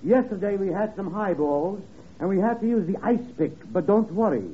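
A nonchalant, oddball butler, acted by a man in a radio comedy. He is high-pitched, and the old recording is tinny with faint noise. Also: normal speed, close to an everyday voice. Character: odd male butler, cheerfully nonchalant about murder. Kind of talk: 1950s radio comedy acting.

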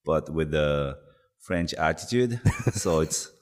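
A man from Japan, a guest on an interview podcast, answering in short, halting English with many fillers. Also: rumbling low, lively intonation. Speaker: guest, brand co-founder. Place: New York studio.

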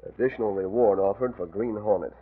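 Husky, scheming crime boss. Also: male voice, fairly low pitch, fast. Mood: calculating. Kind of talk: 1940s radio drama acting. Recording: old radio recording, narrow muffled band.

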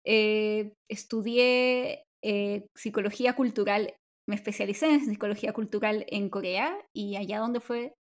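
A woman's voice: mid-range voice, steady tone, unhurried. A woman from Chile with a Chilean Spanish accent, co-hosting a casual podcast chat in a chatty, upbeat way, with frequent restarts. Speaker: podcast co-host.

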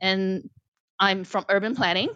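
A woman's voice: medium pitch, measured pace, sharp tone, dry recording, lo-fi sound. A woman from Singapore in her forties, an author answering interview questions thoughtfully, with many ums and restarts. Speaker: guest author. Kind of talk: academic interview.

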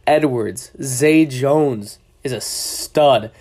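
A man's voice: medium pitch, extremely slow, darkish tone. A young man with a cold and a stuffy, congested voice, hosting a casual sports podcast in a loose, rambling way, often correcting himself mid-sentence. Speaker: podcast host.

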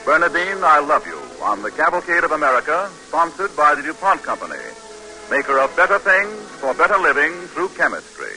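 An old radio recording with faint music behind it. A male announcer projects in a bright, forceful voice, reading a formal script with 1940s emphasis. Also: a little high, sing-song, fairly quick, poor audio.

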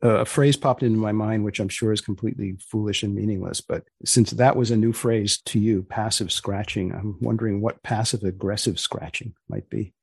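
A man hosting a podcast, putting questions to a guest in a deliberate, wry, halting conversational way. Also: on the low side, fairly even intonation, vocal fry, breathy edge, no echo. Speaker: podcast host interviewing a guest.

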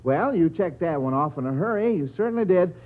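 Man, American, old-time radio quiz host, high-pitched, folksy and chatty, muffled vintage sound. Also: animated, a little fast. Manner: folksy, chatty, addressing children and listeners as friends.

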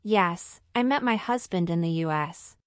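A woman's voice: on the low side, lively intonation, moderate pace, no echo, clean studio sound, close to an everyday voice. A woman playing a polite married citizenship applicant in a scripted practice interview, answering formally and carefully in full sentences. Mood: polite, composed.

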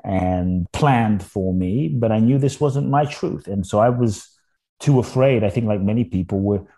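A man's voice: fairly low pitch, a little slow, mellow. A man from Ghana raised in London, a speaker, telling his story earnestly with hesitant restarts. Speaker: podcast guest, inspirational speaker. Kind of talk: reflective storytelling.

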